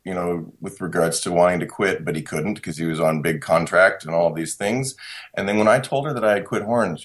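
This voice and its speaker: Male interview guest from Canada, low voice, deadpan self-mocking humor. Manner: wry, self-deprecating storyteller. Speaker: guest musician. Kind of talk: casual interview chat.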